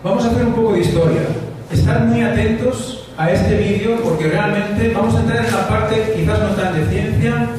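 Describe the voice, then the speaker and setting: Spaniard lecturing in a booming echoey hall. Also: male voice, moderate pace. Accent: Spanish, from Spain. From Spain. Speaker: conference speaker. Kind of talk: lecture with pauses and filler "eh". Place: conference hall. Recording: distant mic in echoey hall, noisy.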